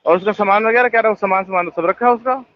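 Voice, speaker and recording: High-voiced man rambling on a muffled phone call. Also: racing. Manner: rambling, defensive, heavy fillers. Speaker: phone caller. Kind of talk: tense phone conversation.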